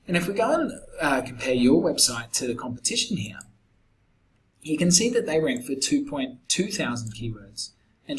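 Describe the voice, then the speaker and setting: A middle-aged man, slightly croaky, casually walking a client through a screen-recorded sales pitch with lots of fillers. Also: slight background noise, poor audio. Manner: conversational, many fillers and restarts. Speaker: SEO agency founder pitching a client.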